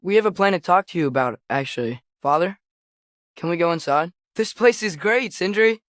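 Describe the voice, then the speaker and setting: A man's voice: high voice, lively intonation, moderate pace, fairly bright tone, no room sound, clean studio sound. A young male actor playing an earnest, eager teenage boy adventurer in a video game, speaking conversationally with small hesitations. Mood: curious, eager. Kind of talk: video game character acting.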